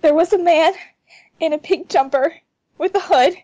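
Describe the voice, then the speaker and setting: A woman playing a bashful, excitable teenage schoolgirl in an amateur fan fiction read. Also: very high-pitched, moderate pace, no echo, muffled recording. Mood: upset, breathless.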